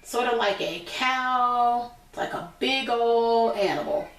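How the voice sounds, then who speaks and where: A woman teacher reading a picture book aloud to young children, pausing to explain things simply in her own words. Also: a little high, fairly bright tone. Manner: instructive, repeats and explains points simply. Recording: echoey room, distant from mic.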